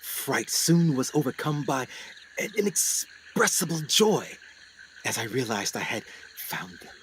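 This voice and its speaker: Guy playing awed haunted explorer in a radio play, very animated delivery, faint noise behind. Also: medium pitch, measured pace. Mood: awed, narrating. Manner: theatrical, breathless wonder, swings to hushed brooding. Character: excitable English explorer doctor, awed and haunted.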